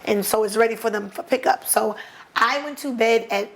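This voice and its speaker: A woman's voice: fairly high pitch, moderate pace, gravelly, a little hiss or hum. An older woman from New York with a New York accent, a baker interviewed as a guest, talking in an easygoing, plainspoken way. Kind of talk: casual interview.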